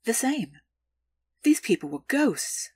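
Husky, poised underworld informant. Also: female voice, fairly high pitch, sing-song, darkish tone, no echo, studio quality, heavily acted. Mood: businesslike. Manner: formal, measured, precise wording. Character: poised, calculating female underworld information broker, deferential. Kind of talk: audio drama acting.

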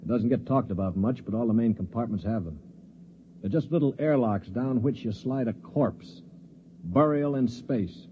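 A man's voice: mid-range voice, normal speed, a little hiss or hum, close to an everyday voice. A man playing a cynical, sardonic spacer. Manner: sardonic, dry, commanding. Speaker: narrator and lead. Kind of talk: 1950s radio drama acting. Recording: dull old radio broadcast.

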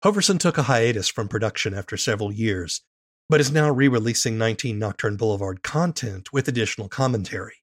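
Slightly husky male podcast host. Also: lowish voice, animated, fast. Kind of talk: scripted podcast narration and interviewing.

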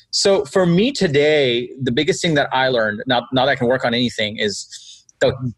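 A man with a New York accent speaks as a podcast guest, energetic and emphatic. He often acts out other people's reactions as he makes his point. His voice sits in a middle register, recorded close and dry. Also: medium pitch, no room sound, poor audio. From Bangladesh. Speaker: guest entrepreneur. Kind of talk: conversational podcast interview.

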